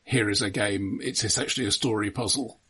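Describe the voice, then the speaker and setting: A man's voice: lowish voice, fairly even intonation, a little fast, a bit bright, breathy edge. A man co-hosting a podcast, discussing films in a casual, conversational way. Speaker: podcast co-host. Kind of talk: casual film review chat.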